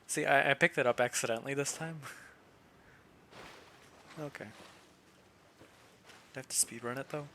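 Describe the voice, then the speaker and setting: A man with a mid-pitched voice mutters casual gameplay commentary in a halting way. His mic is clearly noisy, with game sound around him. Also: pushed and bright. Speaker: gamer recording a playthrough. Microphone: gaming microphone. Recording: noisy home mic over game audio.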